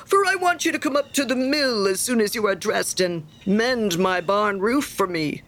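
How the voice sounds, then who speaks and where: A woman's voice: mid-range voice, lively intonation, normal speed, fairly bright tone, lightly acted. A woman voicing a pompous, self-satisfied male miller in a storybook, lecturing with sanctimonious emphasis. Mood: pushy. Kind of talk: storybook character reading.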